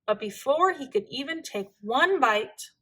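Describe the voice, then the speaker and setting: A woman reading a children's storybook aloud in an expressive, deliberate, dramatic way. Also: high voice, slightly rough. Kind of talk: expressive scripted read-aloud narration for children.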